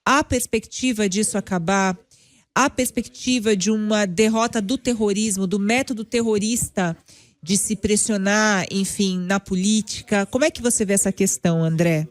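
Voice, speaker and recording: Brazilian woman interviewer, medium pitch. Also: moderate pace. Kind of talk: interview questioning.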